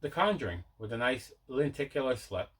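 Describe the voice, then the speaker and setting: A man's voice: mid-range voice, sing-song, brisk, husky. A man hosting a casual unboxing video, rambling and repeating himself as he shows off his collection. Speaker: video host showing mail and movie collection.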